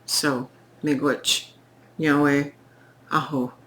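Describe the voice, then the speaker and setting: A woman giving a tarot reading in an unhurried, reflective way, her voice croaky from recent illness. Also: low-pitched, level tone, fairly bright tone, rough recording. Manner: slow, reflective, pausing, with fillers. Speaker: tarot reader. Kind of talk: unscripted spoken tarot reading.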